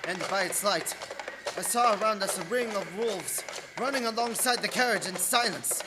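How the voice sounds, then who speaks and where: An actor playing an anxious English gentleman held captive, narrating his diary with earnest, suspenseful theatricality in a radio play. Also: high voice, moderate pace, heavy background noise, lightly acted. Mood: uneasy narration. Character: Jonathan Harker, anxious English gentleman, dread-filled captive diarist. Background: music.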